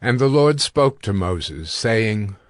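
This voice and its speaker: A man giving solemn, deliberate scripted narration of scripture. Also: fairly low pitch, expressive. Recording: long reverb.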